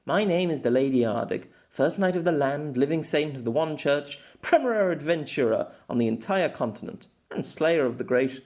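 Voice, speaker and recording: A man's voice: on the high side, lively intonation, moderate pace, hardly any pauses, a little mellow. A man voicing a cheerful, gallant young woman knight with courtly, archaic phrasing in an audiobook. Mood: formal, proud. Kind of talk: audiobook character voice.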